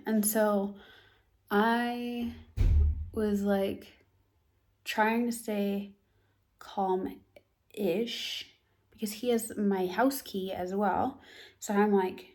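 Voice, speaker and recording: A woman's voice: medium pitch, takes it slowly, long pauses. Young American woman telling a story. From United States. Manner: animated storytelling, acts out both sides of a dialogue. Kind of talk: storytime vlog.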